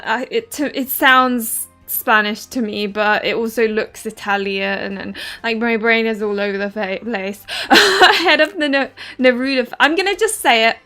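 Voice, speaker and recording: Giggly bright-voiced English woman streamer. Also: fairly high pitch. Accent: southern English. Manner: giggly, laughs often, stumbles over foreign names. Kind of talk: casual livestream game commentary, reading game text aloud. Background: faint game music.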